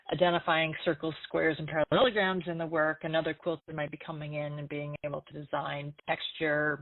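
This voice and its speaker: A woman giving conversational interview answers as an artist, over a thin phone-like line. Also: sits low. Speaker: quilt artist being interviewed.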